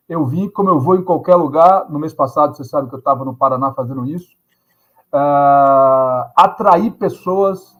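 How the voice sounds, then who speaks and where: A man's voice: a little high, a bit bright, low-quality recording. A man, a guest professor speaking earnestly in a podcast interview, with frequent hesitant fillers. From Salvador, Bahia, Brazil.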